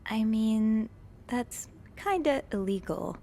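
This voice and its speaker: An actress playing an earnest, curious young hotel maid in a mystery video game, speaking thoughtfully and politely in casual conversation. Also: female voice, a little high, animated, slow pace, creaky, dark tone, full character voice. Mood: curious, hesitant.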